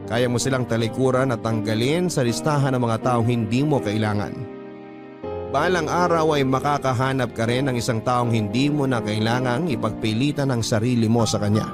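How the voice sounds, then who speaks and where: A man hosting a radio drama, reading a listener's letter aloud as scripted dramatic narration. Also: medium pitch. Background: background music.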